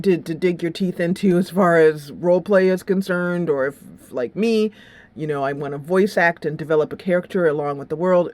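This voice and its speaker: A woman hosting a game channel, talking casually and playfully in slangy, informal solo commentary. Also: fairly low pitch. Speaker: game reviewer and channel host.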